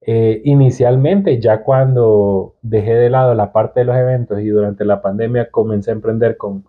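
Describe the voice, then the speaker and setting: A man's voice: fairly low pitch, fairly even intonation, moderate pace. A young Salvadoran man with a Salvadoran accent, chatting casually as a podcast guest with hesitant restarts. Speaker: guest entrepreneur. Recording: tinny phone-like sound.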